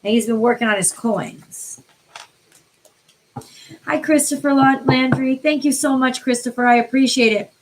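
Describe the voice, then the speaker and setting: An American woman from the New York City area reads tarot on a live stream, chatting informally with viewers by name, her voice tiring and getting a little hoarse. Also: sits high, normal speed, long pauses, a little hiss or hum, poor audio. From New York City area, USA. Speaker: tarot card reader, livestream host.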